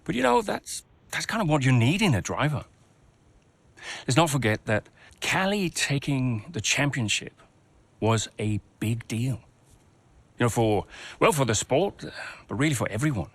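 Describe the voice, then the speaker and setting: An actor playing a calm, seasoned male race team mentor, talking in a measured, reflective way in a game cutscene interview. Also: mid-range voice, creaky, dry recording. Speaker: new team principal.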